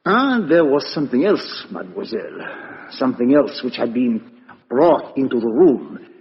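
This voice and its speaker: A muffled vintage radio recording with long hall reverb carries a shrewd male foreign detective. His pitch swings widely as he reasons deliberately and courteously, building drama with rhetorical questions. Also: sing-song. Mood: insistent, reasoning. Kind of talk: 1950s radio play acting.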